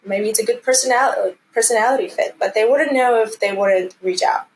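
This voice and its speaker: A woman's voice: a little high, brisk, a little hiss or hum, rough recording. A woman in her thirties from Armenia, speaking earnestly and encouragingly as she answers interview questions conversationally. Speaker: interview guest, commercial real estate team lead.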